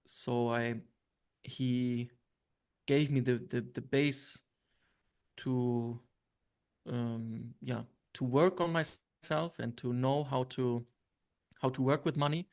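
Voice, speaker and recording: Gravelly-voiced man from Syria, hesitant. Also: medium pitch, fairly even intonation, slow, long pauses, a little mellow. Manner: hesitant, many fillers and restarts. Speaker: interview guest. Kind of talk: reflective interview answers.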